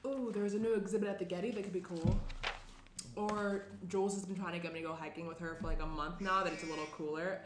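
A woman's voice: fairly low pitch, steady tone, quick pace, close to an everyday voice. A young woman acting a guarded, guilty fiancée in an understated, conversational script reading. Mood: subdued, casual. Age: young adult. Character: guilty, reserved young fiancée hiding a secret. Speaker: actor in a screenplay table read. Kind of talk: naturalistic dramatic script reading. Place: small indoor room around a table. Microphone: shared tabletop microphone. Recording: roomy echo, faint room noise.

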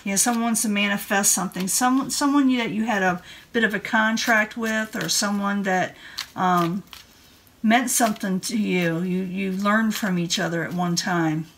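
A woman's voice: mid-range voice, normal speed, fairly bright tone, a little hiss or hum. A woman giving a casual tarot card reading in an informal, rambling way. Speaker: tarot reader. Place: indoor room at a table.

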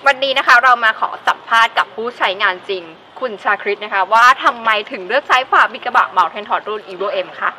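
Young female presenter, brisk and bright, chatty promo read outdoors. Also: on the high side, rough recording. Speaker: presenter of product review. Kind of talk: promotional presenting to camera, conversational. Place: outdoors in a driveway. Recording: outdoor ambient noise. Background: faint outdoor ambience.

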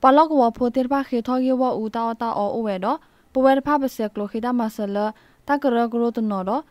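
A woman with a fairly high voice reads scripted radio news. The sound is fairly dry, with little room around her, as a news presenter would have in a studio. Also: fairly even intonation, a little fast, no echo.

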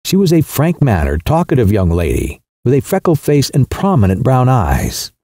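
Mellow, expressive male audiobook narrator. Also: medium pitch, dry recording, clean studio sound. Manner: measured storytelling. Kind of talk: scripted literary narration.

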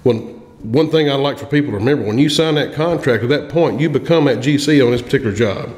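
A man's voice: mid-range voice, fast, a little mellow. A man, a construction veteran giving practical advice on a podcast in a small room, plain-spoken with frequent restarts. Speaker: construction industry veteran guest. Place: small room with a table, indoors. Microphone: studio mic on a boom arm. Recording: echoey room with long reverb.

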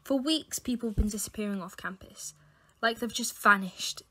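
A woman's voice: fairly high pitch, moderate pace, sharp tone, husky. A young woman playing an anxious, insistent university student in a fan audio drama, urgent and questioning. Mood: earnest. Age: young adult.

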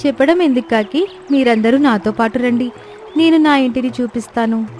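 A woman's voice: fairly high pitch, normal speed, darkish tone, faint music behind, lightly acted. A woman voicing a clever, proud, earnest young sparrow in a children's cartoon story, speaking with self-assurance. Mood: earnest, explaining.

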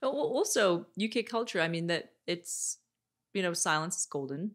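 A woman in her thirties, an etiquette expert guest, talking in a measured, explanatory way and often checking in with "right?", recorded on a boom mic in a studio room. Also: lively intonation. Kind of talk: interview conversation. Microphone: desk microphone on boom arm.

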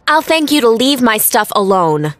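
A woman's voice: fairly high pitch, very animated, moderate pace, a little hiss or hum. An actress playing a headstrong, independent working woman, curt, sarcastic and defiant, in an audio drama. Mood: irritated, defiant.

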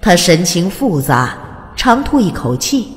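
Woman narrator, dramatic fantasy audiobook, very lively intonation, reverberant, scripted delivery. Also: on the low side, unhurried. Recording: long reverb over music. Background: music.

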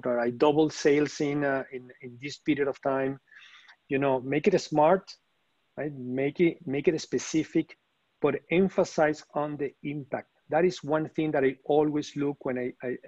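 Man from Venezuela, middle-aged, halting with fillers, dry muffled call audio. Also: on the high side, darkish tone, no echo. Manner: hesitant, many fillers and restarts. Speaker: panelist, operations executive. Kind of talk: webinar panel answer. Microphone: video call.

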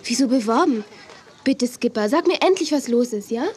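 A woman's voice: fairly high pitch, lively intonation, normal speed, darkish tone, natural voice. A woman playing a sensible, mildly exasperated young big sister in a children's audio drama, chiding yet patient. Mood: patient, puzzled.

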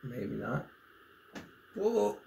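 A man's voice: mid-range voice, sing-song, drawn-out words, gravelly. A young man hosting a casual snack taste-test, getting over a cold, easygoing and laughing often, recorded at a table in a small room. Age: young adult. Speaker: channel host. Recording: echoey room, faint noise.

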